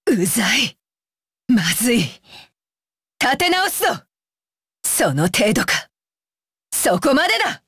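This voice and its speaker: A high, dry-recorded young warrior woman from an anime fighting game. She is stern and scornful, with widely swinging pitch and a deliberate, commanding delivery. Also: very animated, fairly bright tone, breathy edge, dry recording, full character voice. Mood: irritated. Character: fierce, disdainful young shinobi warrior woman, dutiful and stern. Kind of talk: Japanese anime video game battle voice lines.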